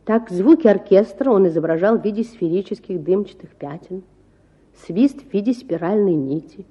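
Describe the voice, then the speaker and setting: A woman reads a children's story as an audiobook narrator. Her voice sits at an average pitch and her delivery is expressive and scripted. The recording is a little dull. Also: normal speed, husky.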